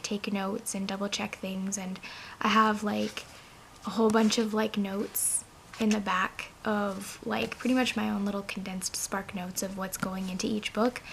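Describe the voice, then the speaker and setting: A young woman with a hoarse, raspy voice, chatting casually about books as a vlogger, with plenty of filler words. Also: medium pitch, a little hiss or hum. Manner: chatty, rambling, many "like" fillers. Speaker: book vlogger. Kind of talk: casual chat to camera.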